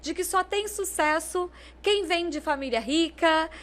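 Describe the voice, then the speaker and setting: A woman, hosting a podcast and interviewing a guest in a measured way. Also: very high voice, a bit bright. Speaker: podcast host.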